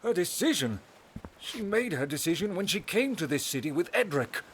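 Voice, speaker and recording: Man as an animated, exasperated fatherly ruler. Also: high-pitched, moderate pace, a little hiss or hum. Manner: emphatic, pleading, folksy turns of phrase. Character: exasperated, earnest father and ruler, easily flustered. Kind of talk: audio drama acting.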